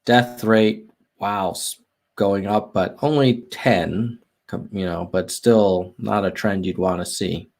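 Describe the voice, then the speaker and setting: American man, livestream host, slow unhurried delivery, lots of ums, talks to chat. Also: on the low side, vocal fry, a little mellow. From United States. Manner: musing aloud, frequent ums and self-corrections; reads viewer chat aloud. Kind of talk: casual data walkthrough on livestream.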